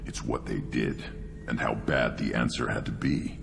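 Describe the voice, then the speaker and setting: A hulking young alien warrior from a video game, brooding and bloodthirsty. His voice is extremely low and heavily gravelled, growling out intense, halting thoughts in a long reverb over loud music. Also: fairly even intonation, heavily acted. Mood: grim conviction. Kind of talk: video game character dialogue.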